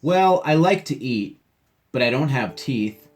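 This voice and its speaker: A man's voice: mid-range voice, expressive, unhurried, pitched above the performer's own voice, close to an everyday voice. A man voicing a greedy, big-mouthed horned frog from a children's picture book, with emphatic relish.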